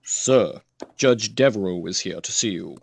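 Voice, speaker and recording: A man playing a deferential, cautioning aide in an audio drama, formal and measured. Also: deep voice, gravelly, breathy edge, full character voice. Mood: dutiful caution.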